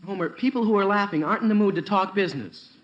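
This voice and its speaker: High bright teen schoolboy, stammery and scheming, 1940s radio comedy. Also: male voice, fast, highs cut off. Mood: glum. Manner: stammering, hesitant. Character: earnest, scheming teenage schoolboy, awkward and hesitant. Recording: roomy old-time radio recording, faint hiss.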